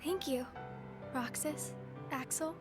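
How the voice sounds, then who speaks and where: A woman's voice: high voice, takes it slowly. A woman playing a timid, earnest teenage girl in a video game cutscene, speaking hesitantly with music behind her. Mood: shy, grateful.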